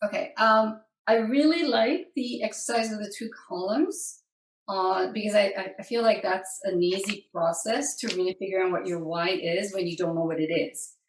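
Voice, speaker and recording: A woman's voice: medium pitch, moderate pace, slight background noise. A woman on a group call, a workshop participant speaking appreciatively with a few stammered restarts, well away from her mic. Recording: echoey room audio from far off.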